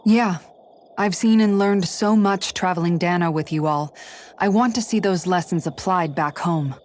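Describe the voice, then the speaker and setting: An actress playing a composed, earnest, idealistic female knight, speaking in a measured way in a video game cutscene. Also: on the low side, darkish tone, natural voice. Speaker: voice actor in a fantasy video game.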